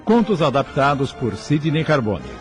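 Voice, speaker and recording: A man's voice: a little high, big pitch swings, moderate pace. A man making a scripted announcement for a radio programme. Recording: loud music under announcement.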